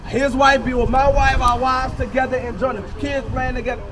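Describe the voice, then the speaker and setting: High-pitched male street preacher, distant and noisy. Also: flat delivery, moderate pace. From United States. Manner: emphatic, colloquial, checks in with listeners. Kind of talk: street sermon with call-and-response to a reader and bystanders. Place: outdoors on a city street. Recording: distant mic, clear outdoor traffic noise.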